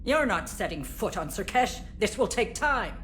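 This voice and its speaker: A woman's voice: medium pitch, very animated, moderate pace, fairly bright tone, faint music behind. An actress playing a stern, obstinate female alien politician in a video game, speaking with cold, clipped haughtiness. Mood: resentful.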